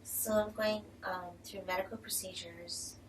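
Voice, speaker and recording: A woman's voice: mid-range voice, fairly even intonation, measured pace, crowd noise. An unwell woman speaking hesitantly to a class about seeking help, with nervous little laughs. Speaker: class participant. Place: clinic room. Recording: roomy, distant mic, faint noise.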